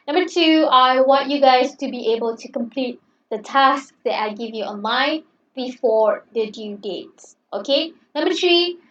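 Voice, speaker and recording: Roomy, distant woman lecturer, non-native English. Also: high voice. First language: not English. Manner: encouraging, chatty, repeats "okay". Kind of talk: online class introduction. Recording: roomy, distant, dull sound.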